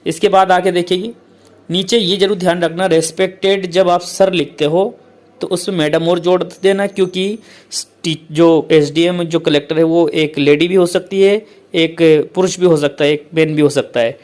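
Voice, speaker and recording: A male teacher who explains a letter format step by step, repeats points often and reads English lines out slowly. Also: high voice, fairly even intonation, darkish tone. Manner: repetitive, keeps saying "okay, friends".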